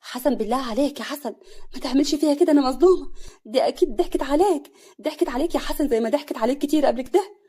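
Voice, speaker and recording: A woman voicing a scheming young woman, shrill and indignant, with an Egyptian accent. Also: rapid-fire, dark tone, natural voice. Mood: pleading. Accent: Egyptian Arabic. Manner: shrill, indignant, mock-shocked.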